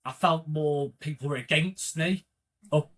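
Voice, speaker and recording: A man's voice: on the high side, measured pace, a bit bright. A man from North Wales with a North Welsh accent, an indie filmmaker guest who chats in a jokey way and often chimes in. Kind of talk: casual podcast interview.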